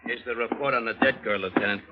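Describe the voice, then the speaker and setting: An actor playing a whining, easily offended, dim police sergeant in comic old-time radio style. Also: male voice, mid-range voice, fast, music in the background, lightly acted. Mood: whiny, indignant. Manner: whining, indignant. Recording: dull vintage radio recording.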